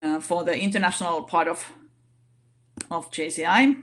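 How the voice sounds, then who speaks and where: A woman speaking English as a non-native language, giving an online session talk in a halting way with many fillers and restarts. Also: lowish voice, slight background noise. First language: not English. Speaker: organization vice president giving a talk.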